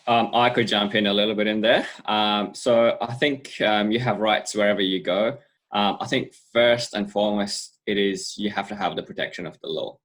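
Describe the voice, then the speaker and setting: Guy from afghanistan, youth worker, goes point by point, lowish voice. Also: steady tone, normal speed, a bit bright. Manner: methodical, lays out points in order. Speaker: youth programs coordinator. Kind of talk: panel discussion.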